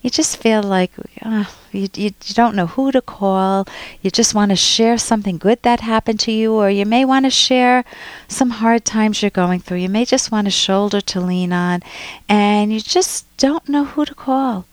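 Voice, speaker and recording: A middle-aged woman hosting an advice show, talking listeners through personal problems in an unhurried, reassuring way. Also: mid-range voice, no room sound. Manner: warm, unhurried, reassuring, with frequent restarts. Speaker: radio advice show host. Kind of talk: reading a listener's letter and giving advice.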